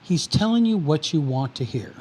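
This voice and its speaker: A man's voice: a little high, sing-song, normal speed, darkish tone, a little hiss or hum. An actor playing a controlling male spymaster who speaks condescendingly and insistently. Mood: warning. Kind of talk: audio drama acting.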